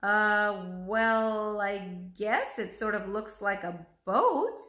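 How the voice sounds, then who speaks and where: A woman's voice: medium pitch, animated, takes it slowly, sharp tone. A woman voicing a hesitant, thoughtful young boy in a storybook, in an understated, musing way. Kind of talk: children's storybook read-aloud. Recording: roomy, echoing room sound.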